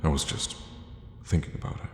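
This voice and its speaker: A man playing a scheming, condescending royal guard loyal to his queen, in an intimate, theatrical fantasy ASMR roleplay with measured, pointed pauses. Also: reverberant, slight background noise, lightly acted. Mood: wistful, subdued.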